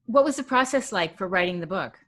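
A woman's voice: mid-range voice, animated, fairly quick, a bit bright. A woman hosting a college radio interview, friendly, with short encouraging replies.

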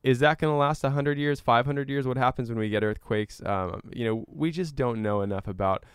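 A man speaking as an interview guest, explaining step by step in a measured, conversational way with careful qualifications. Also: medium pitch, smooth, no echo, studio quality. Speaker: interview guest, climate advocate.

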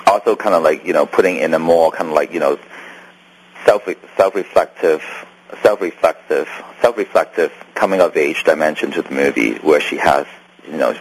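A man's voice: rumbling low, breathy edge, poor audio. A man who grew up in Hong Kong, with a Hong Kong accent, answering interview questions about his film in a rambling way with many restarts. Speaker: filmmaker guest.

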